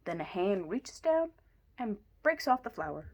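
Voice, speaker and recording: A woman reading a children's picture book aloud in an expressive way, with deliberate emphasis. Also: mid-range voice, slightly rough. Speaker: story reader.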